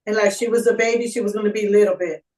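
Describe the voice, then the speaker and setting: A woman's voice: medium pitch, fairly even intonation, a little fast, a little mellow, far from the mic, rough recording. A middle-aged woman guest answering interview questions in a casual, informal way. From Puerto Rico. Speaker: guest, community organizer. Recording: voice away from the mic.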